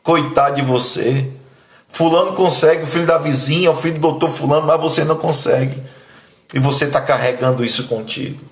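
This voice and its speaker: Pastor guy, 30s, preaching hard, pleading, echoey room. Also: brisk. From Bahia, Brazil. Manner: emphatic, pleading, voice dropping low and soft when emotional. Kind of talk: sermon with personal testimony.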